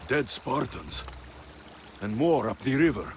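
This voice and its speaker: An actor playing a tough, wry young mercenary warrior in a video game cutscene. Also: male voice, mid-range voice, sing-song, a little slow, noisy background. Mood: grim, pondering. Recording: music behind the voice.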